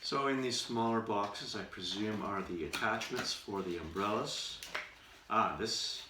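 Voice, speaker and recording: Male vlogger, lowish bright voice, deliberate casual delivery, recorded off-mic in a roomy space. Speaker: vlogger, radio show performer. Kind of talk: casual unboxing commentary to camera. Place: room in his house. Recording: roomy echo, distant mic, faint noise.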